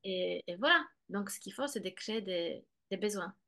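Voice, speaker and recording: A woman's voice: mid-range voice, lively intonation, moderate pace, bright, forceful tone. A woman explaining bilingual children in a conversation, speaking hesitantly with many fillers and restarts, and acting out what children and parents say. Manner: acts out children's and parents' words. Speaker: guest expert on bilingual children.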